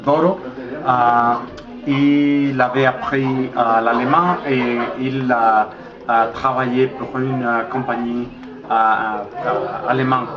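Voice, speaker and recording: A middle-aged man from Mexico with a Mexican Spanish accent, speaking French slowly and hesitantly as the guest in a casual interview, with many pauses and fillers. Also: medium pitch, fairly even intonation. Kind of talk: casual interview in a learned language. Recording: dull echoey recording with crowd noise.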